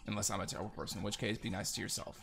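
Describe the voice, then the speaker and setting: A man's voice: on the low side, steady tone, very fast, vocal fry, fairly bright tone, slight background noise. A man doing casual, rambling gameplay commentary as host of a gaming video, with a sarcastic, joking manner.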